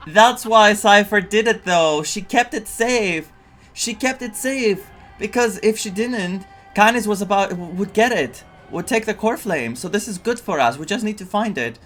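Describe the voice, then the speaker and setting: A man's voice: high-pitched, lively intonation, normal speed, fairly bright tone, soft background music. A man giving casual reaction commentary as a gaming streamer, repeating himself with theatrical exasperation. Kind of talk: casual reaction commentary over a story game.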